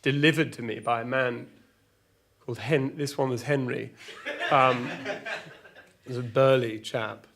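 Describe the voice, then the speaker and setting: A man playing a flustered, exasperated storyteller, halting and repeating himself through a comic monologue full of petty grievances. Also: medium pitch, steady tone, gravelly, fairly bright tone, slight background noise. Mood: wry, rambling. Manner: halting, repetitive, stumbling over words. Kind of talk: rambling semi-improvised comic monologue with acted argument quotes.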